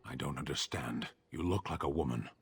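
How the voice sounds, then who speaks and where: A stern giant warrior in a fantasy game. His voice is very low with a husky grain. He speaks tersely and literally, with blunt, deadpan authority. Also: fairly quick, exaggerated voice. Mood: stern, puzzled. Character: stern, blunt Qunari warrior, huge stoic man. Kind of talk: video game dialogue.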